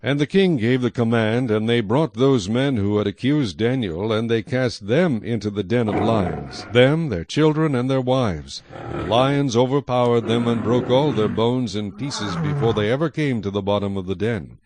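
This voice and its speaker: A man's voice: mid-range voice, expressive, moderate pace, noisy background. A man reading scripted narration as the narrator of a dramatized Bible recording.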